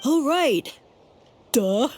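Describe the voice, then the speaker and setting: A gullible little mushroom creature from a fantasy game, speaks in a very high, cutesy voice. It is simple-minded and theatrical, with big pitch swings. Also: female voice, lively intonation, drawn-out words, darkish tone, slight background noise, heavily acted. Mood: dopey, excitable. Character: dim, gullible, admiring little mushroom underling. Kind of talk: fantasy video game voice acting. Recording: game music behind the voice.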